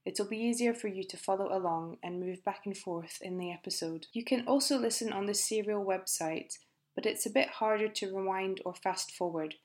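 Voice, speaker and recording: A woman's voice: medium pitch, moderate pace. British woman teaching English pronunciation. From Britain. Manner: clear, careful, explanatory. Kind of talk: scripted listening lesson.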